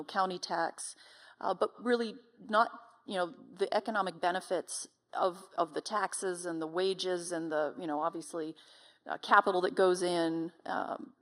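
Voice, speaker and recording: A woman explaining things as a company representative in a panel discussion. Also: fairly low pitch.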